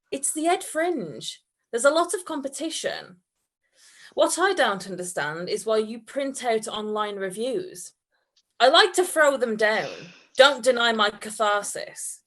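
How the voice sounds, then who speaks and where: Giggly lady reading a funny story out loud live, kinda high voice, really up and down. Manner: giggly, breaks into laughter while reading. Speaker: reader at a comedy event. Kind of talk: live reading of comic fan fiction.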